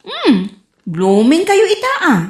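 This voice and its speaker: An actress playing a cheeky young niece in a comedic radio drama, giggly and teasing. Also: female voice, very high voice, wildly swooping pitch, slow, reverberant, heavily acted. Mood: teasing, cheerful.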